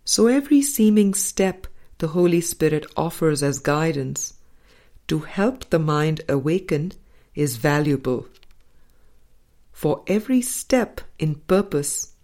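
A woman reading a spiritual book aloud as an audiobook narrator, in measured, deliberate phrases with pauses. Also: low voice, lively intonation, dark tone. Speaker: audiobook reader.

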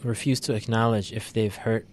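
A man with a low-pitched voice co-hosting a conversational show. He talks things through, stopping and restarting often with plenty of ums. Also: level tone, normal speed, a little mellow, a little hiss or hum. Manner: thoughtful, many fillers and restarts. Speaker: podcast co-host. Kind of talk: casual political discussion.

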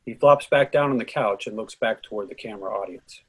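Husky man reading stage directions. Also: lowish voice, brisk. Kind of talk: scripted narration, reading a play script.

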